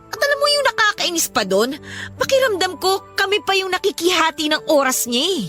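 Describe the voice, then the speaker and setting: A woman's voice: extremely high pitch, sing-song, brisk, bright, forceful tone, faint music behind, full character voice. A woman playing an exasperated young mother and live-in partner in an emotional Filipino radio drama. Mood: troubled, weary. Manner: emotional, scolding.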